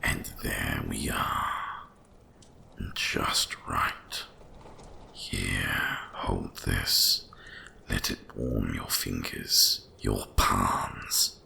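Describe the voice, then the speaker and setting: A man voicing an ancient, gentle winter dragon in an ASMR roleplay, hushed and soothing, with a deep gravelly half-whisper and deliberate pauses. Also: steady tone, full character voice. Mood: tender, soothing.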